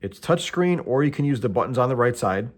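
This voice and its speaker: A man's voice: mid-range voice, expressive, fairly quick. An American man hosting a casual product walkthrough, explaining step by step in a plain-spoken, matter-of-fact way. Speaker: host of tool review and how-to videos.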